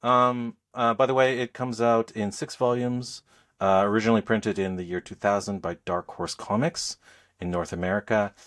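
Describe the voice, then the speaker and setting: A man's voice: fairly low pitch, steady tone, moderate pace, a bit bright. A man hosting a podcast in casual chat, phrasing his thoughts in a hesitant, thoughtful way. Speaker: podcast host.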